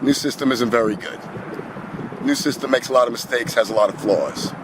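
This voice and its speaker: A man in his fifties with a hoarse, cold-roughened voice, rambling casually to his camera outside by a road in the early morning. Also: mid-range voice, brisk, background noise. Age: 50s. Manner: rambling, conversational, talks to the camera. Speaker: vlogger. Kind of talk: casual vlog monologue. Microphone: camera on a magnetic tripod.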